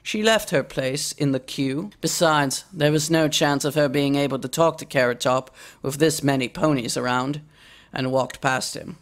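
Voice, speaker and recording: A woman's voice: sits low, normal speed. A woman reading fiction aloud as a scripted story narration. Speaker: story reader.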